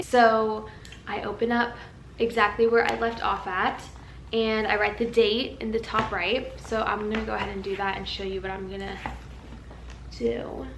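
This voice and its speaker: A young woman vlogging in a casual, chatty way, tired and a little raspy from a nap, in a large empty house. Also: medium pitch, fairly bright tone, reverberant, a little hiss or hum. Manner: chatty, rambling, earnest. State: tired, slightly raspy from just waking up. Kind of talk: casual chat to camera. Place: large empty house used as a wedding venue.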